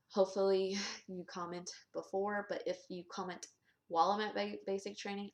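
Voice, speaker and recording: A young woman vlogger talking casually and candidly, with many ums and restarts. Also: lowish voice. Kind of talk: casual vlog. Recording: echoey room.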